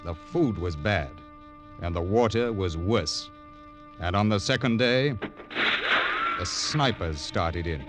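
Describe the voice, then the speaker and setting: A man's voice: low voice, lively intonation, slow, pauses often. A man narrating a military adventure in a grave, dramatic old-time radio style. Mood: grim. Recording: vintage radio broadcast with music.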